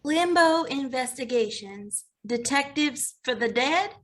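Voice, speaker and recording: A shy, grieving girl of seventeen in an animated comedy, acted by a woman. Her voice is fairly high and tentative, with a questioning way of speaking. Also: slow pace, heavily acted. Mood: puzzled. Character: timid, grieving seventeen-year-old girl. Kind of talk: animated comedy table read.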